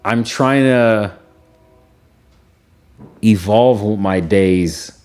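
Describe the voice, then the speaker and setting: A man's voice: fairly low pitch, very slow, darkish tone, clean tone. A young man, a music producer, talking casually on a podcast in a laid-back, rambling way, full of "like" and trailing thoughts.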